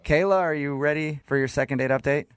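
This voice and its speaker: A man's voice: on the high side, fast talker, clean tone, no echo, clean studio sound. A man hosting a radio show in casual, joking morning banter, with a teasing, conversational way of talking. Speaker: radio host. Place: radio studio.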